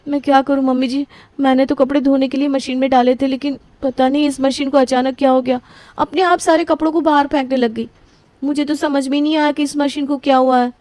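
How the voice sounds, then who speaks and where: A woman plays a harried young daughter-in-law in a family storybook cartoon. Her voice is high and soft-toned, pleading and grumbling by turns. The recording is dry and close. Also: level tone, fairly quick, dry recording, natural voice. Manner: flustered, pleading, whiny complaining. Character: young newlywed daughter-in-law, flustered and put-upon. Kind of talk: scripted family story voicing.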